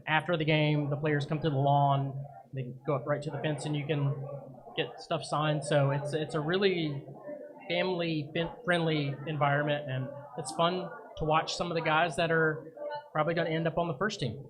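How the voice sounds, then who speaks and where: A man co-hosting a live soccer show, talking in a relaxed, easygoing way. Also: a little high, moderate pace. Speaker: podcast co-host. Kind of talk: live casual soccer chat. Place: busy brewery. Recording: noisy live bar recording. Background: crowd chatter.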